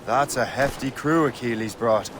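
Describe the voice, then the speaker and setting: An actor playing a fearless young turncoat sea captain in a video game, wry and resolute. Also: male voice, mid-range voice, moderate pace, noisy background, natural voice. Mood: wry, wary. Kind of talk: cinematic video game acting.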